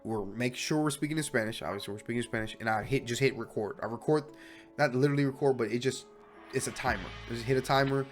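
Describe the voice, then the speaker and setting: A young man with a cold talks in a stuffy, nasal voice at an ordinary middle pitch, rambling and restarting his sentences. His delivery is loose and chatty. Faint music plays behind him. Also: fast. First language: English. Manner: chatty, self-correcting, restless. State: sick, stuffy voice. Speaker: language learning vlogger. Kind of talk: casual rambling monologue.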